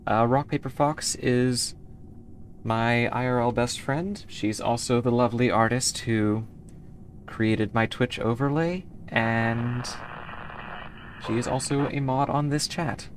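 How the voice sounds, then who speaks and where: A woman's voice: extremely low pitch, steady tone, slow pace, a little hiss or hum. A woman from the United States streaming a game and chatting casually with her viewers, easygoing and rambling as she answers chat between moves.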